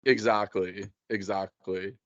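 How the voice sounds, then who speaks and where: A man's voice: lowish voice, fairly even intonation, takes it slowly, no room sound, dull audio. A man in a casual chat, explaining his plans as a game master in a hesitant, conversational way. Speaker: game master planning a tabletop campaign.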